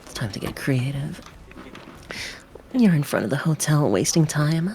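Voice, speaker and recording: A woman with a low voice narrates a game for a livestream. She reads the script aloud, with faint music behind her. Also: expressive, unhurried, mellow, low-quality recording.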